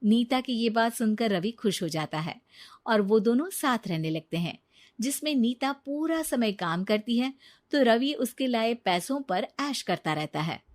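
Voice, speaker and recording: A woman's voice: fairly high pitch, lively intonation, measured pace. A woman narrating a scripted moral story.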